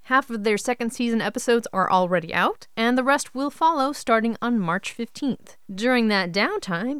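An upbeat woman presents a scripted show introduction. Her voice is fairly high and clean, with lively swings in pitch, addressed straight to the listener in a dry recording. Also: clean tone, no room sound. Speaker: radio show host.

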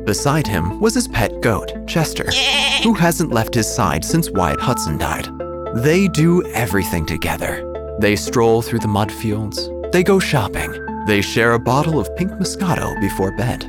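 A man's voice: lowish voice, very animated, measured pace, over loud music. A man giving dry, wry first-person narration for an audio drama. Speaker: narrator and obituary writer character.

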